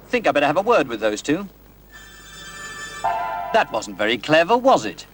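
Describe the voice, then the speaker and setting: An actor playing a kindly but firm road safety superhero in a 1970s TV public safety film. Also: male voice, fairly high pitch, a bit bright. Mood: friendly, concerned. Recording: noisy, rough 1970s television film soundtrack.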